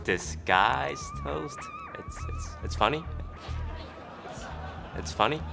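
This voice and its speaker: A man in his twenties from Canada, a tournament player speaking in a playful, expressive way. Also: fairly high pitch, takes it slowly, creaky, over music, crowd noise. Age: 20s.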